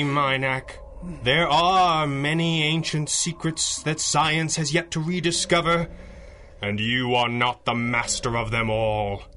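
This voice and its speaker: A commanding masked radio-serial hero. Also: male voice, mid-range voice, pushed and bright, slight background noise. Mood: commanding, hypnotic. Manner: articulate, formal, theatrical delivery. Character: commanding masked 1930s crime-fighting hero with a dry wit. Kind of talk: 1930s radio adventure serial acting.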